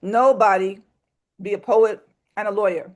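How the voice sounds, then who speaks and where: A woman's voice: mid-range voice, steady tone, measured pace, darkish tone, lightly acted. Stern Southern granny, as a woman puts her on. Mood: scolding. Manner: scolding, folksy sayings. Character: stern older Southern grandmother, tired and practical.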